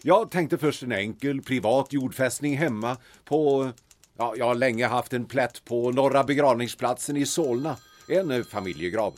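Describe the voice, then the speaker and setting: A man playing a courteous, nostalgic older gentleman in an audio drama, telling things in a formal, theatrical way. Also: medium pitch, natural voice. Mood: moved, grateful.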